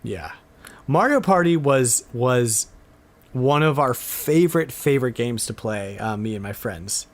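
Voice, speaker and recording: A man's voice: mid-range voice, expressive, unhurried, slight background noise. A man chatting casually and enthusiastically as the host of a retro gaming podcast.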